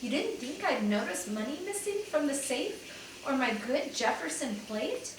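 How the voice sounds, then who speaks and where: A woman's voice: high voice, sing-song, moderate pace, noisy background, pitched above the performer's own voice. A woman reading the part of a kindly older woman innkeeper who is hurt and bewildered. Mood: pleading. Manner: soft and pleading. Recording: very echoey room, distant mic.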